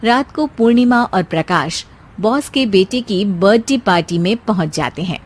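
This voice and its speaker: A woman's voice: mid-range voice, animated, normal speed. A woman storyteller reading a scripted domestic story as narrator.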